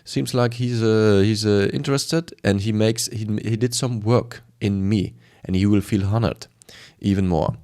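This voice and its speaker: A man's voice: on the low side, steady tone, a little slow, a little mellow. A man with a strong German accent giving informal sales advice to camera, emphatic and repetitive, often acting out short bits of dialogue. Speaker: sales coach presenting a video.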